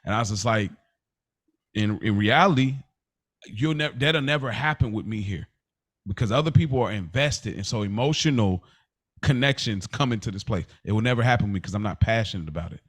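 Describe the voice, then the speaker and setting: A man's voice: on the low side, lively intonation, fairly quick. A man in his thirties talking candidly and casually on a podcast, emphatic and repetitive, with slangy, confiding delivery. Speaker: podcast host.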